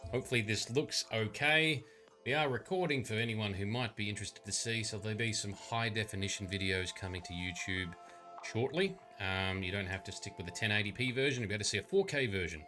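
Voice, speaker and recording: A man hosting a relaxed live stream, chatting casually with lots of fillers about his mod making. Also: fairly low pitch, fairly quick. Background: faint radio music.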